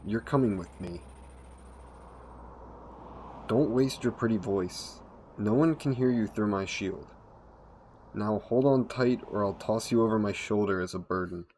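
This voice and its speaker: A man's voice: lowish voice, a little hiss or hum, close to an everyday voice. A man playing a courtly, seductive vampire in an intimate roleplay audio, speaking formally and coaxingly to the listener. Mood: startled, commanding. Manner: formal, gentlemanly, coaxing, unhurried. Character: courtly, seductive male vampire, hungry and predatory.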